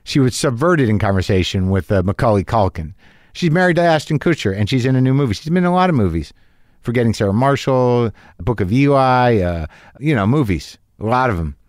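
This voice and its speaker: A man's voice: medium pitch, expressive, fairly quick, darkish tone, no echo. A middle-aged man hosting a casual interview in a home garage studio. He rambles, trails off and restarts, and swears freely. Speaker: podcast host. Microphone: studio mic, close.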